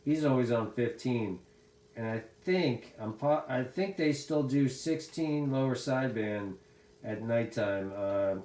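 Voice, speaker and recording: A man's voice: medium pitch, a little slow, warm tone. A middle-aged American man chatting casually as a CB radio hobbyist, talkative and given to repeating his phrases. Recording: echoey room sound.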